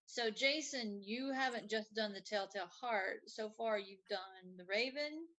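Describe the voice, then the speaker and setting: Female live show host, bright tone, mid pitch, informal and a bit rambling. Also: measured pace. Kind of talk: casual chat, reading out viewer comments.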